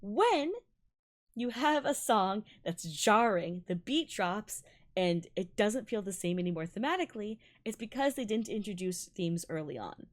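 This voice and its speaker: A young adult woman whose first languages are Malayalam and Tamil, a violinist giving a bubbly, excitable casual breakdown of music and laughing often. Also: mid-range voice, measured pace. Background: faint music playing.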